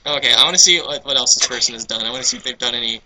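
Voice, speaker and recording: A man's voice: medium pitch, rapid-fire, pushed and bright, rough recording. A man casually co-hosting a fanfiction reading, reading bits aloud with sarcastic, exasperated, sweary commentary. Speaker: co-host of a dramatic fanfiction reading series.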